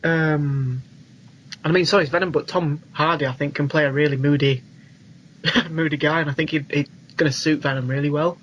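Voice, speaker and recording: A man's voice: a little high, steady tone, normal speed, fairly bright tone, lo-fi sound, a little hiss or hum. A young British man co-hosting a film podcast in a casual, informal and emphatic way. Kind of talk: casual chat.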